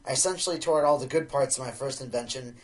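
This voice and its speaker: A man playing an excitable, scheming inventor pony in an audio drama, geeky, hesitant and boastful. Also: medium pitch, quick pace, close to an everyday voice. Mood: enthusiastic.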